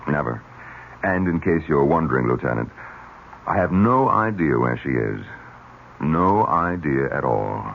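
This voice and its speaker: Through the muffled hiss of an old radio recording comes a smooth, guarded man of business. His voice is very deep and swings widely in melody. The delivery is glib, theatrical radio mystery acting. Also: big pitch swings, unhurried, full character voice. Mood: guarded, firm. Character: smooth, guarded nephew and mill manager.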